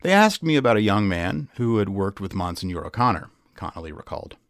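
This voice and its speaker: A man's voice: fairly low pitch, very animated, brisk, no echo, clean studio sound. A man giving a scripted dramatic reading of a true crime newspaper article, as narrator.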